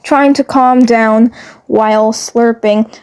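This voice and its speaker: A woman with a fairly high voice narrates a written story at an unhurried, very slow pace. Her reading is halting, and she often stumbles over a word and says it again. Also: steady tone, darkish tone, smooth. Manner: halting, stumbles and rereads words. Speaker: fan fiction narrator. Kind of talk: narrating a scripted story aloud.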